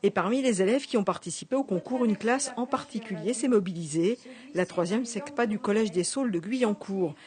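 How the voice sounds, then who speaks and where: A woman presenting local TV news and interviewing a guest in the studio, reading clearly with a few fillers. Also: medium pitch, fairly quick, a crowd in the background.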